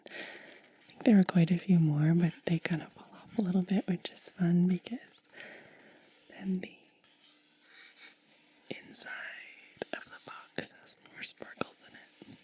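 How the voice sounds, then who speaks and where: A woman hosting ASMR, speaking softly in a breathy, hushed whisper and lingering over short phrases. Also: on the low side, fairly even intonation, measured pace. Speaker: ASMR video host. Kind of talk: soft-spoken ASMR.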